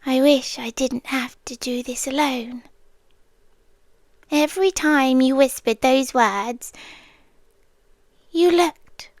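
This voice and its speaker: A British woman voicing a possessive female guardian angel in an ASMR roleplay, half-whispering softly and coaxingly into a close microphone. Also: sits high, dry recording, full character voice. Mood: tender, unsettling. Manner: soft, intimate half-whispering; calm, coaxing and slow.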